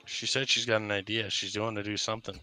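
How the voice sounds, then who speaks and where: Low, crisp-toned trash-talking gamer man. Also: level tone, fast, sharp tone, faint music behind, low-quality recording. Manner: crude insulting trash talk. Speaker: gaming channel co-host. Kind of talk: casual gaming banter.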